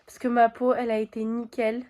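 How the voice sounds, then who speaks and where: A woman with a cold talks to her viewers from her bedroom, her nose stuffed up. Her voice is mellow and soft-edged, and she chats loosely, full of fillers and asides to the camera. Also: a little high, flat delivery, a little fast. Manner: chatty, talks straight to viewers with many fillers. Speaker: vlogger. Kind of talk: casual chat to camera. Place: bedroom at home.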